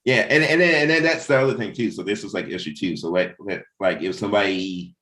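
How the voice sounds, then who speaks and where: A man's voice: on the low side, quick pace, pushed and bright. A man in a casual creative discussion as a writer and host, rambling and often saying "you know". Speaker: comic book writer hosting a table read discussion.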